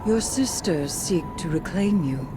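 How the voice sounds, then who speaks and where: A low-voiced, slow-speaking dark sorceress talks with grave resolve over music. She is solemn, proud and formal, in the manner of dramatic video game dialogue. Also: lively intonation. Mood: proud, challenging.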